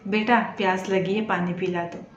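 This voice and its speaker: A woman's voice: mid-range voice, steady tone, normal speed, slight background noise, voice pushed down, natural voice. Lady doing an old mom in a moral tale, patient but reproachful, roomy muffled recording. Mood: needy. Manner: chiding, plain-spoken. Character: patient, self-sacrificing elderly mother, gently reproachful.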